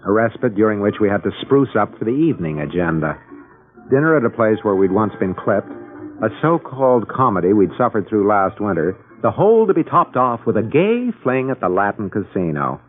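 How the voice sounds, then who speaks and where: Low, wry American husband, tinny radio. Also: male voice, sing-song, fairly quick. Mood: wry, weary. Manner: dry, wryly grandiloquent. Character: wry, weary married lawyer and father, narrating his ordeal. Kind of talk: mid-century American radio drama acting and first-person narration. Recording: tinny band-limited old radio broadcast, music behind.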